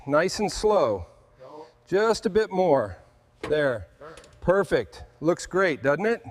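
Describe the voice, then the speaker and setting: A man hosting a restoration video, giving hands-on workshop commentary. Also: a little high.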